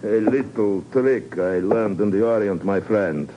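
An actor playing a suave, heavy-set spy in a 1950s radio drama, pompously courteous and menacing. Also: male voice, on the low side, unhurried, natural voice. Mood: quiet menace. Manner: silky, pompous, chuckling politeness. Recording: muffled, noisy 1950s radio recording.

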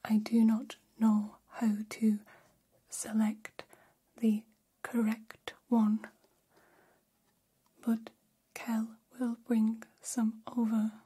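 Woman acting curious alien, ASMR roleplay, whispered, mellow, stilted formal phrasing, gentle, very flat intonation. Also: a little high, very slow, slightly rough, exaggerated voice.

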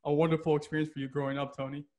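A man's voice: fairly high pitch, flat delivery, very fast, a little mellow. An American man interviewing a guest, chatty, laughing often and leaning on "like" fillers. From United States. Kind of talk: casual interview.

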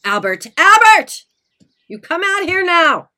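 An actress in a radio play playing a furious small-town wife, yelling and combative. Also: female voice, very high-pitched, very animated, slow pace, faint music behind, exaggerated voice.

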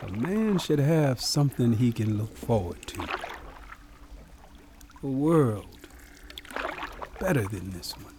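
A man's voice: mid-range voice, sing-song, background noise. An actor playing a wounded, humble, devout man with a Southern US accent, weak and resigned, in a radio drama. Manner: slow, resigned, folksy. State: weak, fading.

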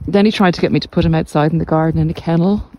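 A middle-aged woman giving reflective, informal podcast advice in a measured, deliberate way with pauses. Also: deep voice, fairly even intonation, warm tone, low-quality recording. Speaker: podcast host and narcissistic abuse recovery coach. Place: walk outdoors along the coast.

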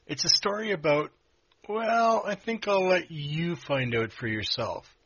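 A man's voice: a little high, lively intonation, measured pace, a bit bright, husky, muffled recording. A man hosting a story podcast, giving scripted introductions. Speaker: host.

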